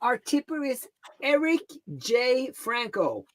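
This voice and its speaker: Lively, melodic woman co-hosting casually. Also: sits high, slow, dry recording. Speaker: co-host of a coding livestream. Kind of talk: casual unscripted chat.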